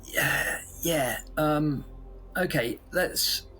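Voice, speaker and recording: A man's voice: a little high, drawn-out words, sharp tone, soft background music, full character voice, poor audio. A man playing a polite, flustered team member in a sci-fi audio drama, hesitant and stammering.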